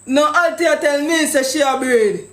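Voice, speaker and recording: A man's voice: very high-pitched, unhurried, fairly bright tone, roomy sound. A man with a Jamaican accent making a prank phone call, talking pushy and accusing in thick patois. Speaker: prank caller.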